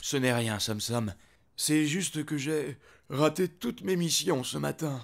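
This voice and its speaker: A man voicing a tired, gentle cartoon superhero dad, sighing and weary. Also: a little high, creaky. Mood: exhausted. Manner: sighing, drawn-out. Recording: loud music under the voice.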